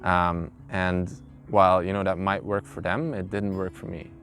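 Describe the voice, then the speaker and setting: A man's voice: sits low, level tone, normal speed, fairly bright tone, soft background music. A man, an English speaker, telling his own story informally in an interview. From Belgium. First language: not English. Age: early twenties. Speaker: interviewee, carpenter. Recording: clear background noise, ambient sound.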